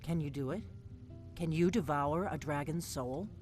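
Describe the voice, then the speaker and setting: A woman's voice: low-pitched, moderate pace, darkish tone, lightly acted. An actress voicing a guarded, driven veteran female agent in a video game, speaking tersely and with command. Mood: grave, urgent. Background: game music.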